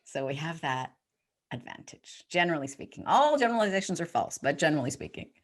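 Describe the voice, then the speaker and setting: A middle-aged woman speaks as a guest on a podcast. Her voice sits very low for a woman, and she talks in an articulate, measured, self-assured manner. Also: sing-song. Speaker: podcast guest, communication coach. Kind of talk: interview answers.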